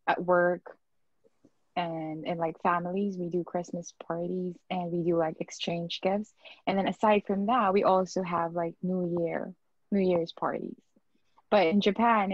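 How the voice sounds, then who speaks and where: A woman from the Philippines, chatting casually on a panel in a relaxed, hesitant way with many fillers. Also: lowish voice, no room sound, muffled recording. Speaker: panelist, digital marketing specialist.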